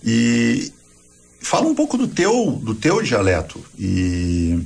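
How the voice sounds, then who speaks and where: A man, a radio host speaking in a halting way and repeating words. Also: medium pitch, huge pitch swings, creaky, a little mellow, a little hiss or hum. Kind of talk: radio interview hosting.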